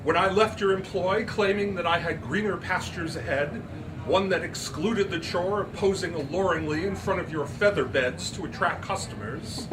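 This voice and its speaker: A man's voice: high-pitched, normal speed, distant mic, noisy background, pitched above the performer's own voice, lightly acted. A man voicing an elegant, haughty, flirtatious woman in a grandiose, formal, sonorous manner. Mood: boastful. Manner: grandiose, formal, precise diction.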